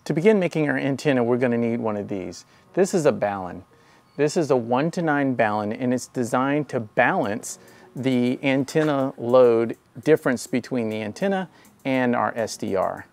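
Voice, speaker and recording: Male tutorial narrator, mid-pitched with a gravelly edge, instructional delivery for a tech explainer. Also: animated, moderate pace, darkish tone. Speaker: tutorial host.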